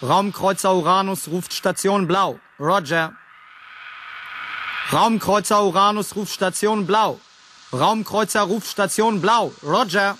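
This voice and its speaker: An actor playing a dutiful spaceship radio operator in a science fiction radio play, calling out formally. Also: male voice, sits high, takes it slowly, sharp tone, slight background noise, full character voice.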